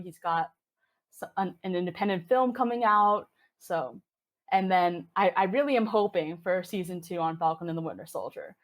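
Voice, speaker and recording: A woman in her twenties from Hong Kong, chatting with enthusiasm as a podcast guest, with plenty of fillers. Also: lowish voice. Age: 20s. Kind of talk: casual interview chat.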